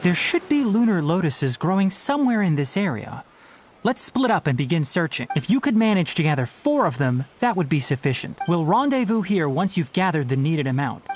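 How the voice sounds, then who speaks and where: Animated, scholarly young forest ranger. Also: male voice, fairly high pitch, brisk, slight background noise. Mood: businesslike, approving. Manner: precise, instructive, slightly prim. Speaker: botanical guide and forest ranger. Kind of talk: scripted video game voice acting. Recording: game music behind the voice.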